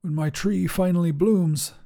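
A man voicing a dying father in a narrated story, weak and loving, with a labored, raspy, wheezing voice. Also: sits high, steady tone, measured pace, mellow, voice pushed up. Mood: tender, labored.

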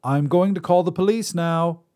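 Man reading as a mild homeowner, mildly annoyed, slightly raised pitch, mellow voice. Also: lively intonation, moderate pace, natural voice. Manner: reluctant, mildly annoyed, conversational. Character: mild-mannered grown man who hates confrontation. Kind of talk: storybook reading.

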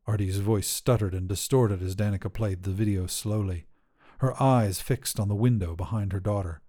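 A man's voice: lowish voice, fairly even intonation, normal speed, mellow. A man narrating scripted short fiction as the host of a story podcast.

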